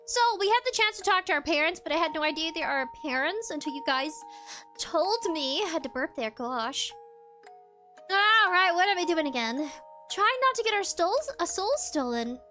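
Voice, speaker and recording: Bubbly high-voiced woman streamer. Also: animated, normal speed, pushed and bright, faint music behind. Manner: bubbly, giggly, chatty. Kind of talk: casual live-stream chat while gaming.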